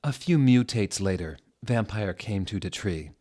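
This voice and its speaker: A man hosting a mock-dramatic reading of fan fiction, deadpan, sounding out typos and punctuation as written. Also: on the low side, measured pace. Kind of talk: mock-dramatic scripted narration.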